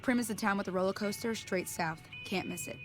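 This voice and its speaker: A woman's voice: medium pitch, brisk, lightly acted. An actress voicing a plainspoken frontier townswoman in a game, blunt and matter-of-fact, with faint music underneath. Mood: helpful. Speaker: game character.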